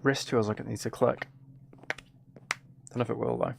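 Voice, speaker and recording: A man streaming a game, chatting casually in a relaxed ASMR style, rambling along in a self-deprecating way. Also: mid-range voice, normal speed, creaky, background noise. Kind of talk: casual livestream chat while gaming, relaxed ASMR.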